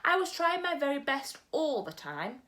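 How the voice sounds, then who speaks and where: A huge, kindly old giant with muddled, mangled grammar, booming out his words in a lively, swooping voice, as a woman reads him aloud. He sounds pitched up and slowed, with big exclamations, like a character from a storybook. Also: exaggerated voice. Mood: earnest.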